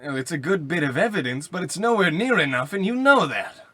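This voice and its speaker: A man's voice: on the high side, brisk, dry recording. A man playing a skeptical, exasperated police officer in an old-time radio comedy style. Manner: animated, questioning.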